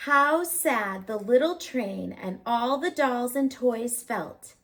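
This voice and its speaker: A woman preschool teacher reading a storybook aloud, expressive, with simple child-directed phrasing and questions for young listeners. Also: on the high side, slow.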